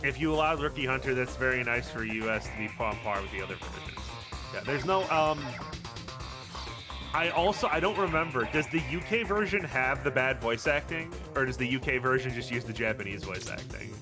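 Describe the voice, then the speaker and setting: A man's voice: mid-range voice, expressive, brisk, over music. A man hosting a game livestream, chatting casually in a rambling, joking, sweary way. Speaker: game streamer, host.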